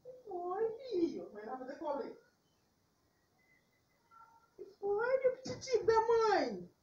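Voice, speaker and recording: A woman's voice: very high voice, very animated, slow pace, a little mellow. A woman with a Brazilian accent chatting casually to her small child, picked up muffled and distant from the microphone. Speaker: mother talking to her child. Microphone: far from the microphone. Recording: muffled, distant background pickup.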